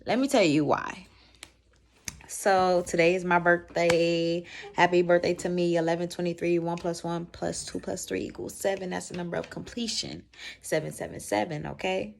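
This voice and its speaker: Low-pitched American woman, casual storytime. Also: normal speed, vocal fry, a little hiss or hum. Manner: animated asides, slangy, conversational. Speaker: vlogger telling a story. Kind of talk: casual storytime chat to camera.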